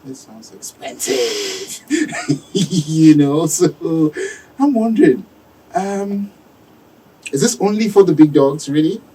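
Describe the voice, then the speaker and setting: A man's voice: fairly high pitch, big pitch swings, slow pace, pauses often, dark tone, husky, distant mic, low-quality recording. A man hosting a radio interview, asking curious, chatty questions. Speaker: radio show host. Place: radio studio.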